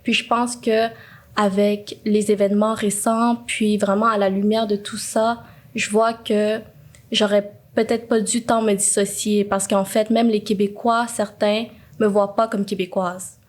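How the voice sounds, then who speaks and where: A woman's voice: mid-range voice, flat delivery, unhurried. A young woman from Quebec with a Quebec French accent, speaking earnestly and steadily in a casual conversation. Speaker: guest.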